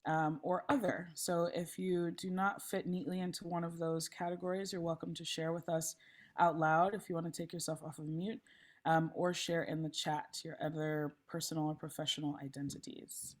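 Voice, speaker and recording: A woman facilitating an online meeting, a little hoarse, friendly and chatty with frequent ums, speaking through a laptop mic on a video call. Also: sits low, little pitch movement, normal speed. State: slightly hoarse. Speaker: session facilitator. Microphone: laptop mic, then headphones.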